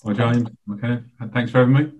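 A man's voice: medium pitch, steady tone, brisk, darkish tone. A middle-aged man from South East London, a guest answering interview questions with modest, self-deprecating humour. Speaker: guest, charity chief executive.

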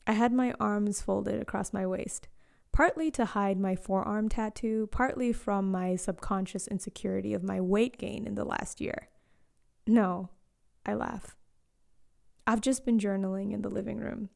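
A woman in her late twenties reading a reflective personal story in a slow, lyrical way, sometimes dropping to a hush. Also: medium pitch, steady tone, vocal fry. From born in Eswatini to Zambian parents, raised in South Africa, Australia and Canada. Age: late 20s. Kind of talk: scripted personal narration.

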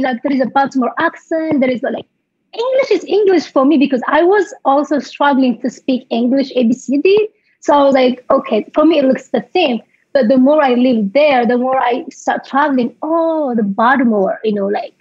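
A young woman from Ethiopia with an Ethiopian accent, a podcast guest telling her life story in halting English, repeating words and laughing through hard memories. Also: high voice, expressive, a little mellow, no echo, dull audio.

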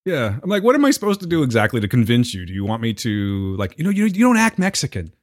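A man's voice: medium pitch, wildly swooping pitch, quick pace, no echo, clean studio sound. An American man co-hosting a podcast in casual banter, with a joking, teasing manner and some swearing. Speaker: podcast co-host.

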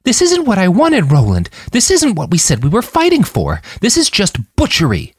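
A man's voice: high-pitched, huge pitch swings, moderate pace, husky, dry recording, studio quality, pitched above the performer's own voice, heavily acted. A man voicing an anguished, tearful comrade pleading with a raised voice in an audiobook. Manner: impassioned, raised voice.

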